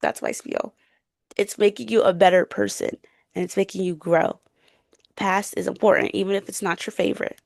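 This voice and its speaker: A woman's voice: mid-range voice, fairly even intonation, moderate pace, gravelly, darkish tone, dry recording, studio quality. A woman chatting casually and emphatically about books as a podcast co-host, in an informal way. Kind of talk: casual book chat.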